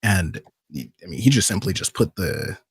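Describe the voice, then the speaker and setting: A man with a low, rough-edged voice speaks casually on a discussion panel. He thinks aloud in an informal way, with a lot of creak in his tone, and the sound is close and dry. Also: lively intonation, normal speed, dry recording. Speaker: podcast guest, voice actor and video maker.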